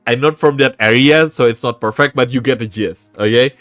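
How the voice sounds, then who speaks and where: Casual indonesian-accented streamer dude, swears a lot, laughs, mid pitch, dry mic. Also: male voice, fairly quick, fairly bright tone, no room sound, soft background music. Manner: chatty, laughs often, swears casually, restarts words. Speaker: VTuber streamer. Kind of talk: casual live-stream chat.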